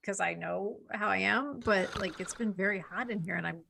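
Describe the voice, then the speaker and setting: A woman co-hosting a casual chat, rambling in a self-deprecating way with a gravelly voice. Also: mid-range voice, moderate pace, sharp tone, slight background noise. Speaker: podcast co-host.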